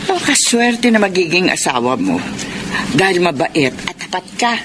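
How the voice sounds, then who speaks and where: A man's voice: high voice, big pitch swings, normal speed. An actor playing a grateful, generous Filipino businesswoman, effusive and insistent, in a radio drama. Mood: warm, kindly. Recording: clear background noise.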